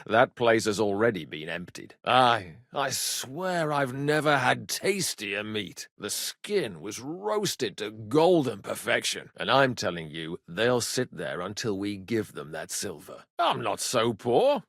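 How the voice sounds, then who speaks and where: An actor playing a cheeky, good-humored medieval rogue and loyal younger brother in a video game, with colloquial, irreverent banter. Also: male voice, fairly low pitch, big pitch swings, takes it slowly, hardly any pauses, fairly bright tone, no room sound, studio quality, full character voice. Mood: easygoing banter. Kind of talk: video game dialogue acting.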